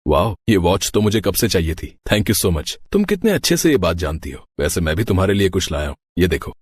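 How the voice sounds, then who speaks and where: Man playing a low-voiced affectionate young husband. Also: sing-song, fairly quick, slightly rough, no echo, studio quality, exaggerated voice.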